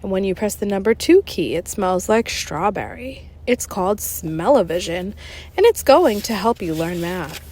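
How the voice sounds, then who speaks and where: Female reader as mischievous grade-school teacher in a kids' storybook; instructive, gleeful, very animated delivery. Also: medium pitch, moderate pace, natural voice. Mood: brisk, explanatory.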